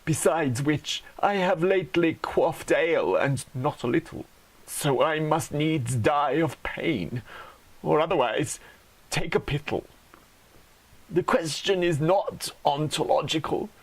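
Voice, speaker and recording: A man's voice: on the high side, slow pace, low-quality recording. A man reading comic poetry theatrically, with a mock-grave, declamatory manner. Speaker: poet reading own poems.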